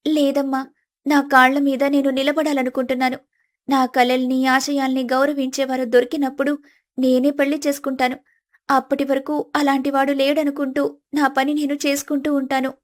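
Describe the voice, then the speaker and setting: Young independent wife, played by a woman, high voice, measured, polite and resolute, storybook drama. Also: flat delivery, natural voice. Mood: gentle, resolute. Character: calm, firm, independent young married woman.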